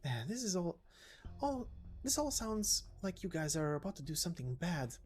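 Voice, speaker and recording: A woman's voice: lowish voice, very animated, normal speed, a little mellow, faint music behind. A woman voicing a candid, wary, easily confused young female employee from a game story, hesitant and plain-spoken. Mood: uneasy. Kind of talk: dramatic game story reading.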